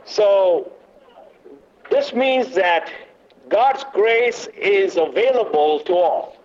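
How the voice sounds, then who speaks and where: A man leading a church workshop talk, loud but not booming, halting with many fillers and quizzing the audience. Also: expressive. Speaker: workshop teacher. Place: meeting room, away from the podium. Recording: dull lo-fi recording.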